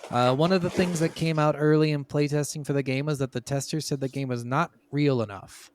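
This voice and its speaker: A man co-hosting a casual gaming podcast, telling stories from his notes in an animated, chuckling way. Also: on the high side, fairly quick, no room sound. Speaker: podcast co-host.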